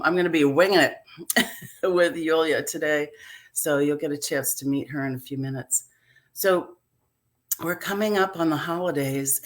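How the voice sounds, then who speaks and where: A woman hosts a healing live stream from a home video call, talking in a chatty way with many fillers. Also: deep voice. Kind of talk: casual live-stream talk.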